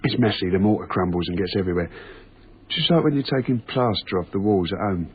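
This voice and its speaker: An actor playing a British railway tunnel night worker in a radio drama, talking in a matey, musing way. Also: male voice, sits low, fast. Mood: amused storytelling. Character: chatty, anecdote-loving British railway tunnel night worker, uneasily fascinated. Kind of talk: naturalistic radio drama acting. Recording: lo-fi phone-like radio recording, clearly noisy.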